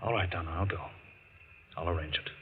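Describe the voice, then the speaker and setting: An actor in 1940s radio style playing a reserved, reluctant but obliging gentleman, measured in his speech. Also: low-pitched, lightly acted. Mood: reluctant, resigned. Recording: tinny, noisy old radio broadcast.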